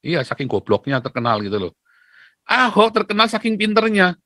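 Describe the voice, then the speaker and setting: A man talking casually as a host, opinionated and animated, mocking and fond of rhetorical questions. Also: sits high, a little fast, no echo, studio quality. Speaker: talk show host.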